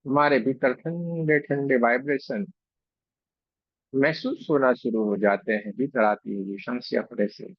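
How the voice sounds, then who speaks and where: A man leading a guided meditation as a reiki guide, speaking slowly and soothingly with pauses and repeated phrases. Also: medium pitch, no room sound, lo-fi sound. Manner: slow, soothing, repetitive instruction with frequent pauses.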